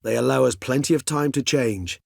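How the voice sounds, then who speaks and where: A man voicing a centuries-old, scholarly vampire gentleman in a fantasy game, speaking in eloquent, formal, measured phrases. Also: mid-range voice, creaky, husky, no echo, studio quality. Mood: earnest, measured. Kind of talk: fantasy video game character dialogue.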